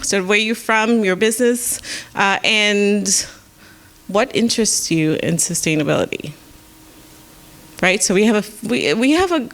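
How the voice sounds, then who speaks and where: A woman with a Saint Lucian accent, a professor leading an informal workshop in a relaxed, chatty way. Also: medium pitch. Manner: relaxed, chatty, inviting questions.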